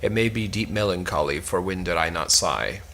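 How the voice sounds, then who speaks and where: A man plays a lovesick young man in an Elizabethan stage play, with a low, bright-edged voice. He broods aloud in declamatory verse, melancholy and musing. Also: moderate pace, slight background noise. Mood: weary melancholy.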